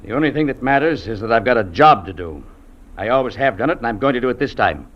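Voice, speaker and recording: An actor playing a stern, unyielding Mountie sergeant, speaking curtly in 1950s radio drama style. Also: male voice, medium pitch, animated, fast talker, lightly acted. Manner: curt, stern, blunt. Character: hard, unyielding Mountie sergeant, adult man. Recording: dull, noisy old radio recording.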